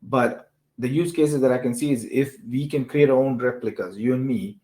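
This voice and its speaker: A man's voice: mid-range voice, moderate pace, mellow. A man with a mid-range voice speaks as the host of an informal discussion show. He explains things in a loose, conversational way, often checking that the point has landed. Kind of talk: casual discussion of technology and business use cases.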